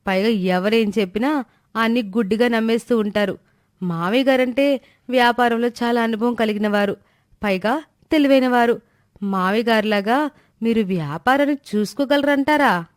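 A woman's voice: a little high, no echo, studio quality, lightly acted. A woman voicing a timid, respectful young wife in a moral story, anxious and coaxing in manner. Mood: worried. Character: timid, dutiful young wife and daughter-in-law. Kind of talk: animated moral story dialogue.

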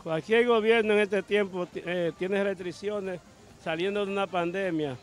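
A middle-aged man from the Dominican Republic, with a Dominican accent, giving a measured, explanatory opinion into a reporter's handheld mic on a city sidewalk. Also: high voice, steady tone. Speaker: passerby interviewee.

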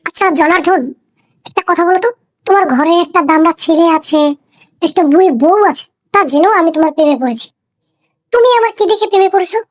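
A woman playing a bossy, scheming young girlfriend in a comic cartoon, coquettish and sharp-tongued. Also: very high-pitched, quick pace, long pauses. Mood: coy. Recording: music behind the voice.

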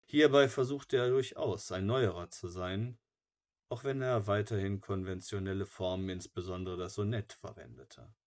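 A man narrating a biography from a script in a measured, matter-of-fact way, like an audiobook. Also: low-pitched, darkish tone.

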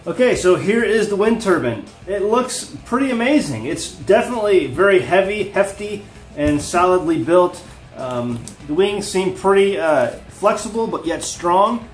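High-voiced American man, roomy sound. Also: animated, moderate pace, soft background music. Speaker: homesteading vlog host. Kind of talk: casual product unboxing and explanation to viewers. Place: living room with wood floor and large windows. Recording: roomy indoor echo.

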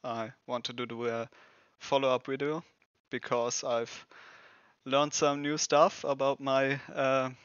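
A man's voice: medium pitch, little pitch movement, takes it slowly, a bit bright, smooth, dry recording. A young man in his twenties, a native German speaker, chatting casually on a headset mic in a small room at home. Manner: rambling, self-correcting, lots of fillers. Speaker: reaction video host. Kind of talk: casual reaction talk. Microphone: gaming headset mic. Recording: dull lo-fi headset audio.

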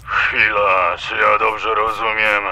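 A man's voice: fairly low pitch, flat delivery, takes it slowly, bright, forceful tone, heavily acted. A man voicing a taunting, incredulous gangster boss in a cartoon dub, drawing out his words mockingly. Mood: mocking disbelief. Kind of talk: animated cartoon dub acting.

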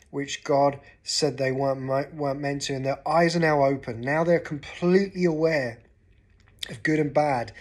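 A man in his forties with an English accent, giving an earnest short devotional talk to camera from a sofa at home, gesturing as he speaks. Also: on the high side. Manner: earnest, gestures with his hands while talking. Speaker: pastor. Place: living room, seated on a sofa indoors.

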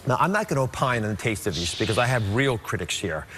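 A middle-aged man hosting a studio talk show, with an energetic, showy announcer delivery, speaking into a lapel mic. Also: on the low side, quick pace, slight background noise. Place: TV studio set.

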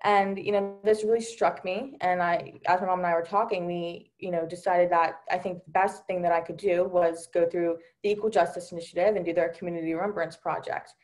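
Young woman panelist, slightly low voice, sincere storytelling over a video call. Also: steady tone, a little fast, few pauses, darkish tone. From Delaware, USA. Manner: earnest, many fillers like um and you know. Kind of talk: personal account on a virtual panel.

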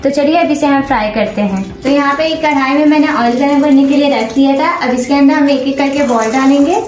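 A woman hosting a cooking channel, calmly talking viewers through a recipe step by step, with English cooking terms mixed into her speech. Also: high voice, a little fast. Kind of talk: recipe tutorial voice-over. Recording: echoey room with soft background music.

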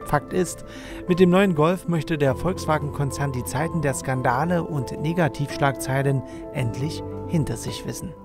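Higher-voiced male news narrator over music. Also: normal speed. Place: voice-over recording with music behind.

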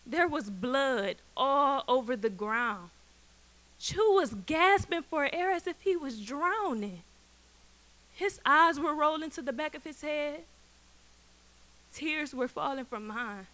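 A teenage American woman reads a personal essay aloud from a stage. Her voice is high and forceful, with animated pitch movement. She delivers it emphatically, letting deliberate pauses sit between phrases. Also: low-quality recording. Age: late teens. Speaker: student essay contestant. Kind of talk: prepared personal speech read aloud. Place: auditorium stage, indoors. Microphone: podium microphone.

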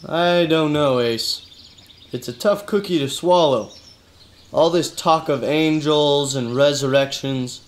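A man's voice: on the high side, slow pace. A man playing a weary, skeptical hardboiled detective with colloquial talk, in a noir-parody radio drama. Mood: softening, puzzled. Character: exasperated, hardboiled male detective questioning his cousin.

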